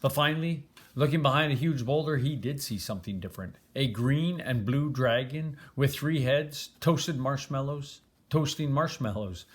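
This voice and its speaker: An older man reading a children's storybook aloud in an expressive, grandfatherly way, stressing and stretching words. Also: medium pitch, normal speed, few pauses.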